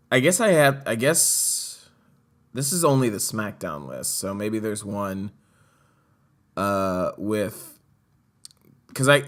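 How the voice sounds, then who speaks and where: A man's voice: medium pitch, bright, forceful tone. A young man talking casually to his audience about wrestling, rambling with fillers and self-corrections. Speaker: wrestling commentary vlogger. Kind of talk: casual solo commentary.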